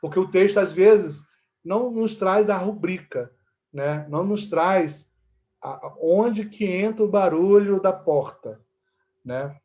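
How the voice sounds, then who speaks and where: A man with a Brazilian Portuguese accent explaining things conversationally as an interview guest, haltingly, often checking in with short tag questions. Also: high voice, darkish tone, lo-fi sound. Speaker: actor and theatre teacher, interview guest.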